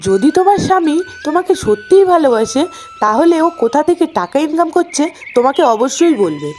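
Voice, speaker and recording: A woman's voice: sits high, big pitch swings, moderate pace, darkish tone, a little hiss or hum. A woman voicing a nosy, envious village housewife who nags and gossips in a cartoon. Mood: nosy, prodding. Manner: scolding, gossipy. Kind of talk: cartoon voice acting.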